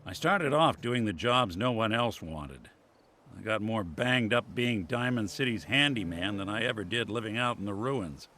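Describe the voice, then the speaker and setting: An actor playing a world-weary, good-natured synth robot detective in a video game, telling stories in folksy, wry old-time slang. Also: male voice, fairly low pitch, animated, moderate pace, pushed and bright, slight background noise. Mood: reflective, warm. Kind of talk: video game character dialogue, reflective storytelling. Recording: music playing behind the voice.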